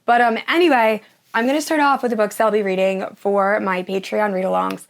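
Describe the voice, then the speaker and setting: A young woman hosting a casual book vlog, her voice hoarse and nearly gone after the flu, gushing about the books she plans to read. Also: mid-range voice, fairly even intonation, brisk. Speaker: book channel host.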